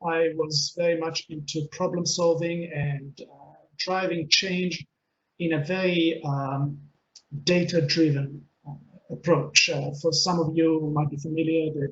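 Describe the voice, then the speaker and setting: Israeli-accented man giving a webinar talk. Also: on the high side. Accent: Israeli, mixed with Texan, Scottish and London. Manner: earnest, many hesitations and fillers. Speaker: webinar speaker and coach. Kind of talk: presenting a pre-recorded webinar. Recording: dull lo-fi webinar audio, faint hiss.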